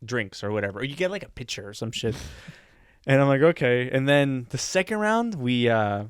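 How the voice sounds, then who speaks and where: A man's voice: medium pitch, very animated, moderate pace, clean tone, no room sound, studio quality. A man co-hosting a podcast in casual chat, laid-back, swearing casually and laughing often. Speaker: podcast co-host.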